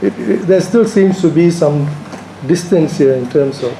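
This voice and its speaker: Dark-voiced man asking a halting question. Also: a little high. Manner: hesitant, halting, polite. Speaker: audience member asking a question. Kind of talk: spontaneous academic question. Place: lecture room at a university. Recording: roomy echo, faint room noise.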